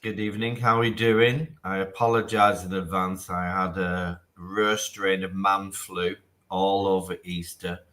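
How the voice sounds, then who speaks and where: A man with a northwest English accent from Manchester, a little croaky, talking casually as an interview guest with wry, hesitant phrasing. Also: low voice, level tone, a little slow, fairly bright tone. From Manchester, northwest England. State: slightly croaky after flu. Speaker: interview guest, telecoms chief executive.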